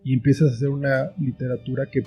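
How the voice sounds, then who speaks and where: A man's voice: medium pitch, steady tone, fast, dark tone, husky, faint music behind. A man talking as an interview guest, explaining his thoughts reflectively in short phrases with examples. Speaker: guest, children's book author and screenwriter.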